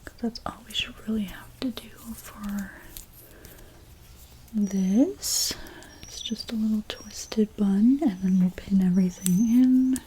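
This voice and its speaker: A woman whispering in an ASMR role play as a hairstylist, gently talking through each step in a breathy, mostly unvoiced voice. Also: medium pitch, a little hiss or hum, rough recording. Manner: gentle, unhurried, step-by-step personal attention. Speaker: ASMR creator playing a hairstylist.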